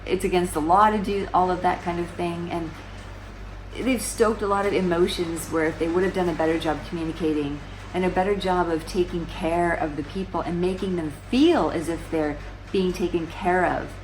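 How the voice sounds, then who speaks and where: Woman in her 40s on a sofa talking to camera, low voice, bit of faint hiss. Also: moderate pace, poor audio. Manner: conversational, many restarts, rhetorical questions. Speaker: vlogger. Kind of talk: casual explainer monologue. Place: small room indoors, seated on a sofa.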